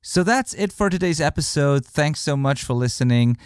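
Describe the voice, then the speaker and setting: A man's voice: on the high side, animated, normal speed, smooth, no echo, clean studio sound. A man hosting a podcast, speaking English as a non-native speaker in an earnest, rambling way with frequent restarts. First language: not English. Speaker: podcast host, mixing engineer. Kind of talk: podcast outro monologue.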